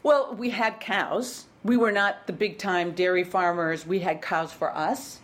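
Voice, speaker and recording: A woman's voice: fairly low pitch, steady tone, measured pace. A woman in her fifties from Wisconsin with a Midwestern accent, a guest recalling her farm childhood in casual interview chat, reflective and full of restarts.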